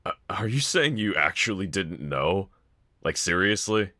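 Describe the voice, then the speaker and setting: A very low-pitched, sardonic villain, acted out by a man in an intimate audio roleplay. He drawls casually and teasingly, with a bright, edgy tone and a quiet vulnerability underneath. The sound is close and dry. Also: sing-song, measured pace, no echo, exaggerated voice. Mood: incredulous, sardonic. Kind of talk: fan audio roleplay, intimate one-sided dialogue.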